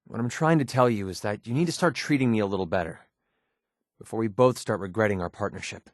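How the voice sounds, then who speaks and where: A man's voice: lowish voice, fast talker, no echo, clean studio sound, lightly acted. An actor playing a jaded young male wasteland mercenary in a video game, blunt and cynical with an earnest, wounded side. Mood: hurt, measured.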